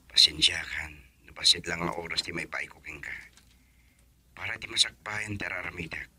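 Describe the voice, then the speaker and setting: A man playing an earnest, hardworking young husband who speaks gently to his wife in an Ilocano radio drama. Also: low voice, steady tone, moderate pace, slightly rough, heavily acted. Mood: tender, hushed.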